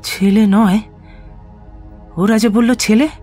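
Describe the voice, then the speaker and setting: A man acting a bitter, suspicious husband in a Bengali audio drama, husky and accusing, snapping out taunting questions. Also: sits high, fairly even intonation, slow, darkish tone, soft background music. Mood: aggrieved. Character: bitter, suspicious, ailing husband, prone to abusive rage.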